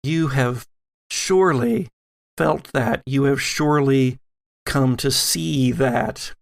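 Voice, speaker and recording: An elderly grandmother played by a man speaks slowly and gravely for a tabletop story. The voice creaks, and weighty pauses fall between phrases as this solemn mystic pleads. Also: mid-range voice. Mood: grave, pleading.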